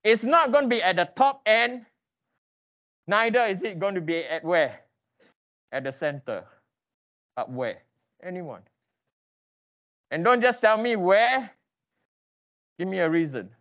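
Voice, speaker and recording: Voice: medium pitch, lively intonation, unhurried, pauses often, fairly bright tone, muffled recording. An engineering lecturer teaching interactively in a classroom, quizzing students with emphatic, repeated questions and prodding them for answers.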